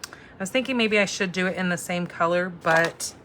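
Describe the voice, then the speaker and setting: Bright-voiced, lower-pitched woman chatting while crafting. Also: moderate pace, slight background noise. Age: 40s. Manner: chatty, thinking aloud. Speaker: crafting host and instructor. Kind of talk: casual live craft demo chat.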